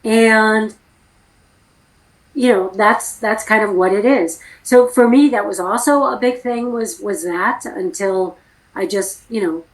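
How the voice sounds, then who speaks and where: A woman's voice: mid-range voice, takes it slowly, rough recording. A middle-aged American woman talking frankly and emphatically as an acting coach in a casual interview. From United States.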